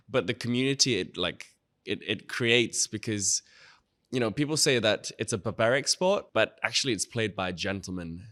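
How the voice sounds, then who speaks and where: A young man from Thailand, a guest in an interview, answering casually and often saying "you know" and "like". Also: on the low side. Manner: relaxed, frequent "you know" and "like".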